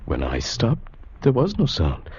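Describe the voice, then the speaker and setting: A man's voice: mid-range voice, huge pitch swings, unhurried, a little mellow, a little hiss or hum. An actor playing a haunted man who tells of his uncanny journey in a brooding, intense, dramatic radio style. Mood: dreamy, uneasy. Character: haunted male traveler recounting an uncanny experience. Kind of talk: 1940s-50s radio drama narration. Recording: dull vintage radio recording with music.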